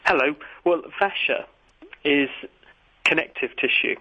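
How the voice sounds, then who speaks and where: A man's voice: a little high, unhurried, breathy edge. A man explaining science to radio listeners as an expert over a phone line. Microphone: phone line into a radio show. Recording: narrow, tinny phone line.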